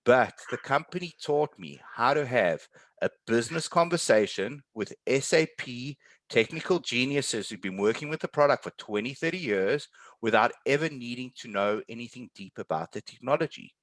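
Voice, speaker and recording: A man's voice: medium pitch, expressive, normal speed, a bit bright, dry recording. A man speaking as a panelist in an online webinar, giving earnest, encouraging and emphatic career advice. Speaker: webinar panelist, tech sales professional.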